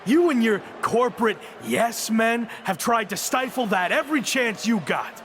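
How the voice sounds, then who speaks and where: An actor playing a defiant, aggrieved young pro wrestler in a video game, speaking in an emphatic, confrontational promo style. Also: male voice, very high voice, lively intonation, unhurried, a bit bright, slight background noise, exaggerated voice. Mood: defiant, angry.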